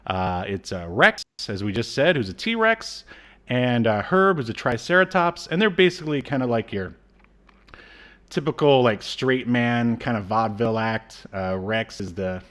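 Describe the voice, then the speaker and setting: A man's voice: mid-range voice, lively intonation, normal speed, fairly bright tone. A man in his thirties co-hosting a casual media review podcast, rambling hesitantly with dry asides. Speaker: podcast co-host. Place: small room at home. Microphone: video call setup.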